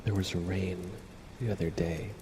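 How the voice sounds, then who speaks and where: A man speaking gently and softly, at times whispering, as an ASMR livestreamer guiding a relaxing scene. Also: sits low, steady tone. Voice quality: soft, partly whispered. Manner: gentle, unhurried, casual. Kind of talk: ASMR, soft relaxing talk and guided visualization. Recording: clear crinkling and ambient noise.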